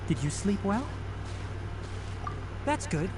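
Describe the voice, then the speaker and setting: A scholarly forest researcher in a video game, gentle and principled. He explains things in a calm, measured, articulate way. Loud background noise sits behind the voice. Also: high voice, heavily acted. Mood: calm, attentive. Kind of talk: scripted video game dialogue.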